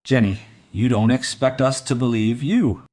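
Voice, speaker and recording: A man's voice: medium pitch, moderate pace, a little mellow, lightly acted. A man playing a stern, sceptical police investigator with a cynical edge in a radio crime play. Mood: sceptical, pressing.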